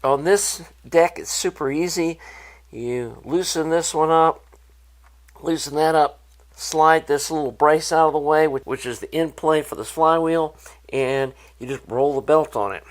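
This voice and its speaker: A man with a slightly raised pitch talks through a hands-on repair as a hobbyist presenter. His delivery is unscripted and conversational, explaining each step informally. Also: unhurried.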